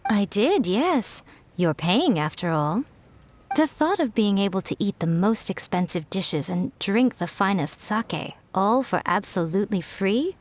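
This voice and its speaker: A woman's voice: mid-range voice, very animated, unhurried, noisy background. A woman voicing a sly fox-spirit lady in a video game, who speaks with smug, languid teasing.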